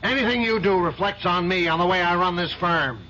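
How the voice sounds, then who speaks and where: A stern, commanding older man running his law firm in an old radio mystery. His voice is bright and forceful, a bit high for a man, as he lectures. The broadcast is dull and lo-fi with faint hiss. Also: fairly quick. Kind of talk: 1970s radio drama acting.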